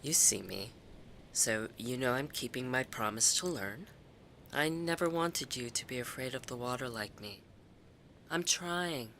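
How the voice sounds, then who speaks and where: Anxious forty-something woman, low voice, swooping intonation, grieving, self-deprecating, confiding audio drama monologue, noisy ambience. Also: sharp tone, full character voice. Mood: apologetic, tender. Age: 40s.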